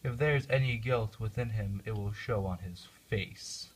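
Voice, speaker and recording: A man's voice: fairly low pitch, moderate pace, lightly acted. A man playing a grieving, bitter young prince in an amateur puppet show. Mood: composed.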